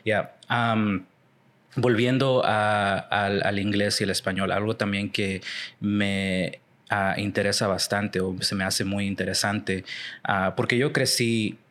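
A man's voice: fairly low pitch, steady tone, slow pace, fairly bright tone. A young man hosting a casual interview, speaking loosely with fillers and slang. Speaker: podcast host.

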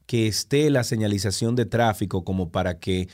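A man with a Dominican accent co-hosts a radio show. His voice is fairly low, and he comments in a loose, conversational way. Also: normal speed, a little mellow. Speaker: radio co-host.

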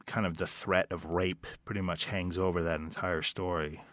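Man, very low voice, interview guest, hesitant with restarts, dry close sound. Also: flat delivery, normal speed, fairly bright tone, dry recording. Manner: thoughtful, searching for words with many restarts and fillers. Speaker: writer and director, interview guest. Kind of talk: interview answers.

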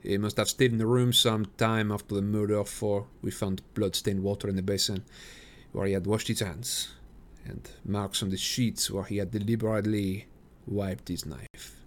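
A man reading aloud and voicing a male police inspector in a steady, matter-of-fact way. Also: lowish voice, moderate pace, a little hiss or hum, natural voice. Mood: reporting.

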